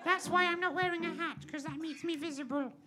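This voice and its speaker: A woman's voice: very high-pitched, brisk, over music. A woman playing a tiny cheeky Dutch boy. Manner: silly deadpan improvised jokes.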